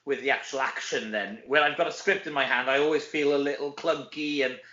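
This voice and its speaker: Bright-voiced Welsh man, echoey dull recording. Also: medium pitch, fairly quick. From Wales. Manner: animated, self-deprecating joking. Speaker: actor being interviewed. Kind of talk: casual chat interview. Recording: dull, echoey room sound.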